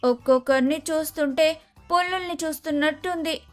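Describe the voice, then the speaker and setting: An eager, naive young daughter-in-law in a cartoon moral tale, voiced by a woman. The voice is very high, chatty and full of exclaimed questions. Soft music plays behind it. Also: fairly quick, natural voice. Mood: surprised, complaining. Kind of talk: dramatised moral story voice acting. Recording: music under the voice.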